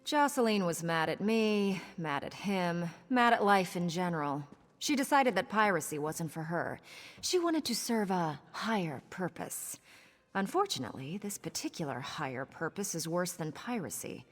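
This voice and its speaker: A woman's voice: on the low side, lively intonation, normal speed, long reverb tail. An actress playing a scheming, cynical pirate woman in a video game, with a sardonic, conspiratorial manner. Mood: bitter, matter-of-fact. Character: scheming roguish female pirate, sly and cynical. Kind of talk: video game character dialogue.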